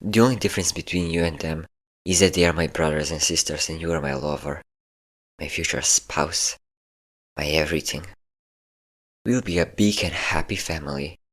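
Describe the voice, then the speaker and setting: Deep-voiced, smug vampire lord played by a man. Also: fairly bright tone. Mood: obsessive, tender. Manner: smug, teasing, drawn-out endearments. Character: possessive, mocking male vampire lord, obsessive lover. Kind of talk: intimate audio roleplay acting.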